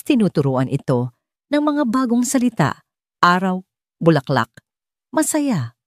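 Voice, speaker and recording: A woman's voice: fairly low pitch, a little mellow, dry recording, studio quality. A woman reading a scripted dramatic story as an audiobook narrator.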